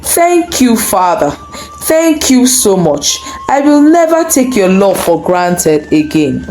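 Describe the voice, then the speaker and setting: A woman's voice: medium pitch, very animated, slow pace, darkish tone, a little hiss or hum, poor audio. A woman voicing a remorseful young prodigal son in a folktale, pleading and emotional. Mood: grateful.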